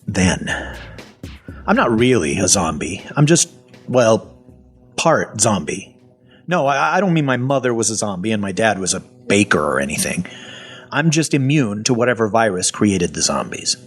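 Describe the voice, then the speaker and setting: A man's voice: lowish voice, moderate pace, breathy edge, faint music behind. A man narrating audio fiction in the first person as a sarcastic, foul-mouthed captive survivor who is part zombie. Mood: wry. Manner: sardonic, conversational, expressive.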